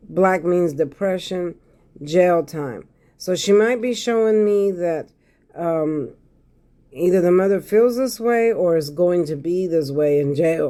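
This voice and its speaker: A hoarse man giving a casual tarot reading to his viewers, repeating phrases and often checking in with "okay?". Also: high voice, mellow. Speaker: tarot card reader.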